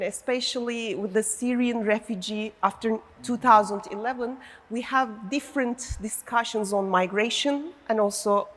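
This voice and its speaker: A woman's voice: mid-range voice, a bit bright. A woman interviewing a guest at an academic seminar, speaking hesitantly with many fillers and restarts. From Turkey. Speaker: interviewer, faculty dean. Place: seminar hall on a university campus. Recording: long reverb of a hall.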